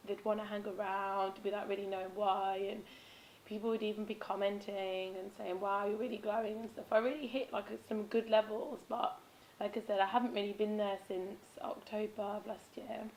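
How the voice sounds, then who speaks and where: A woman with a raspy, hoarse voice, chatting casually in a vlog about spiritual habits, with frequent ums and restarts. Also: mid-range voice, little pitch movement, moderate pace, a little mellow, slight background noise, low-quality recording. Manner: rambling, with many ums and self-corrections. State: hoarse, losing her voice. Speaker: vlogger.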